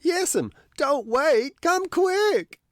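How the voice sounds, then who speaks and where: A man's voice: extremely high pitch, big pitch swings, drawn-out words, fairly bright tone, no room sound, voice pushed up, heavily acted. Worried little brother, pleading, voice swinging way up and down, dry close sound, man reading. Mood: anxious. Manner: anxious, pleading. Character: worried younger brother, boy.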